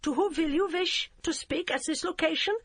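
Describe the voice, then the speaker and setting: A woman's voice: extremely high pitch, no echo, clean studio sound, voice pushed up. A woman voicing a curt German policewoman with a heavy German accent and broken, formal English. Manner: clipped, formal and halting.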